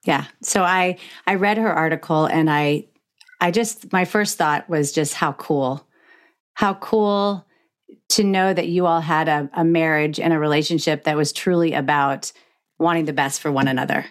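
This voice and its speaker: Female podcast host, middle-aged, low register, candid and emphatic in conversation. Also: moderate pace.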